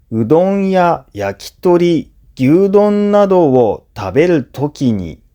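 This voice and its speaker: A man narrating a scripted listening lesson for language learners, phrasing deliberately in short segments. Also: on the high side, warm tone. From Japan.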